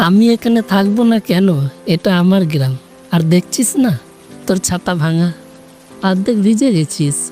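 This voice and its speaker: Woman as mellow, teasing village boy. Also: medium pitch, soft background music, lightly acted. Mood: teasing, caring. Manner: playful, taunting, unhurried. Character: teasing, mischievous village boy, cocky but caring. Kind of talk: romantic audio story acting.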